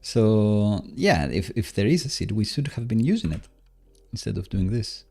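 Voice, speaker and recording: A man casually commentating as he codes live, musing and questioning himself in a halting way. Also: lowish voice, very animated, creaky, dark tone. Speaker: live-streaming programmer host. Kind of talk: casual live coding commentary, thinking aloud.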